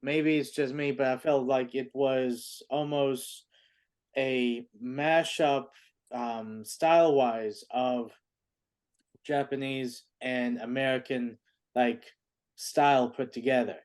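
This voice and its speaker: A man's voice: medium pitch, fairly even intonation, slow, a little mellow. A man co-hosting a podcast, rambling casually and searching for words. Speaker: podcast co-host. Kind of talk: casual chat.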